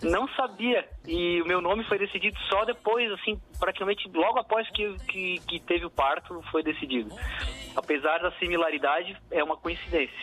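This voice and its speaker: A man's voice: high voice, normal speed, fairly bright tone, faint music behind, low-quality recording. A young adult man from southern Brazil with an Italian-immigrant-tinged southern Brazilian accent, a musician answering a radio interview reflectively, with many restarts and fillers. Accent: southern Brazilian Portuguese, Italian-immigrant influenced. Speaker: musician guest.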